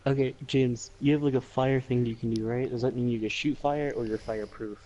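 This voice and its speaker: A man's voice: mid-range voice, fairly even intonation, a little fast, dark tone, highs cut off, a little hiss or hum. A man talking casually as a player in a tabletop role-playing game.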